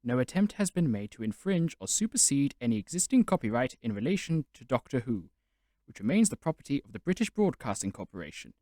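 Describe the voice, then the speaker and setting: Male announcer, medium pitch, lively intonation, dry recording, formal scripted read. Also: fairly quick, no echo. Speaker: disclaimer announcer.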